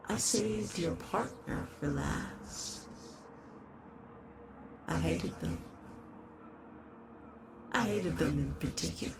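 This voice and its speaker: Obsessive otherworldly horror lover played by a woman: very slow, formal, gravelly fry, tender menace, noisy ambience behind. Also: sits low, full character voice. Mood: brooding, resentful. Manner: slow, deliberate, formal, soothing yet sinister. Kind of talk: scripted roleplay audio monologue.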